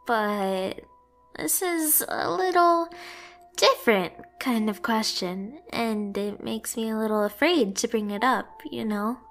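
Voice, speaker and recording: A very high, girlish catgirl played by a woman speaks slowly in an anime ASMR roleplay. She is clingy, timid and devoted, with an anxious, possessive streak, and music plays clearly behind her. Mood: nervous, tender. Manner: timid, hesitant, breathless pleading to the listener.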